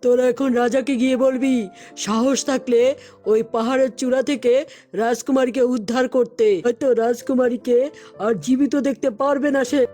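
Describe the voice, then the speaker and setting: Casting: vengeful cartoon demon, played by a woman, high voice, commanding threats, music underneath. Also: fairly even intonation, moderate pace, warm tone, close to an everyday voice. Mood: gloating, threatening. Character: vengeful, menacing man-eating demon kidnapper, male. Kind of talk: children's cartoon voice acting.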